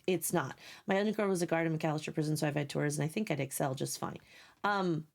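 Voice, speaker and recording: A young woman streamer chatting casually with viewers, blunt and sarcastic, swearing freely, her voice scratchy and sleepy. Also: medium pitch.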